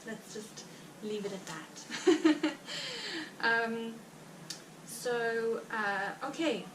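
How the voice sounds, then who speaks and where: A heavily pregnant woman with a South African Afrikaans accent, chatting casually to her viewers in a rambling way with many fillers and quick laughs. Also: fairly high pitch. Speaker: vlogger. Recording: roomy, distant mic with background noise.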